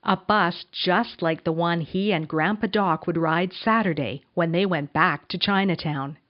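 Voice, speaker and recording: A woman's voice: on the low side, a little slow. A woman narrating a children's storybook, in a slightly dull recording with music behind her. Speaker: storybook reader.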